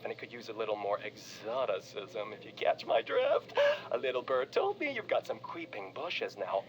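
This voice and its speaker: An actor playing a flamboyant, self-important theater director in a video game, flowery and ingratiating. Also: male voice, mid-range voice, low-quality recording. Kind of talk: video game recorded-message acting.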